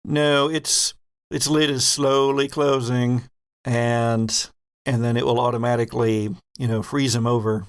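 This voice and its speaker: A man's voice: mid-range voice, level tone, a little slow, smooth, dry recording, studio quality. A man narrating a horror sci-fi tabletop game as game master, with wry, vivid description.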